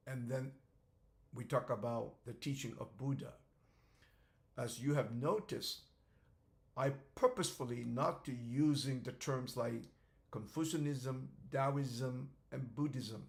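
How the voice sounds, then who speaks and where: Slow, halting older man lecturing. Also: medium pitch, vocal fry. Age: 70s. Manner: halting, phrase by phrase, with repeated words. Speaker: author presenting his theory.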